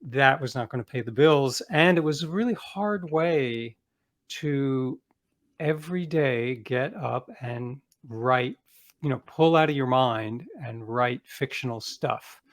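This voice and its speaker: A man's voice: mid-range voice, slow. Middle-aged man telling casual career anecdotes. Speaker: author, interview guest.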